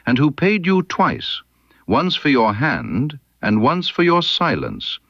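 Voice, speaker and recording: A man voicing a shrewd, composed gentleman detective in an audiobook, speaking with precise, quietly controlled insistence. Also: medium pitch, dry recording, muffled recording, pitched above the performer's own voice, exaggerated voice. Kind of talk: character dialogue in an audiobook.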